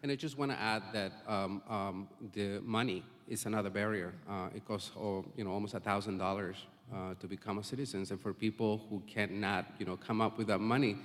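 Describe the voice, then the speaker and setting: A man's voice: on the low side, fairly even intonation, brisk, hardly any pauses. A man testifying at a public hearing, earnest and full of filler sounds. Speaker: community advocate testifying. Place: public hearing in a school building. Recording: long reverb of a hearing hall.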